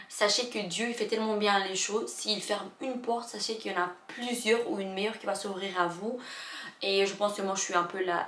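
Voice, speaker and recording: A woman's voice: medium pitch, fairly bright tone, a little hiss or hum. Emotional young woman in an echoey room. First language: not French. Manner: emotional, halting, many fillers and restarts. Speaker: vlogger. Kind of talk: confessional personal storytelling.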